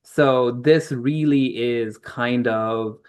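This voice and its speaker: A middle-aged man from Pakistan talks through his answers at a measured, explanatory pace, pausing on ums and restarting phrases as he thinks. His voice sits in an ordinary middle pitch for a man. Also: a little mellow, smooth. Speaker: author guest. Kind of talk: conversational interview answers.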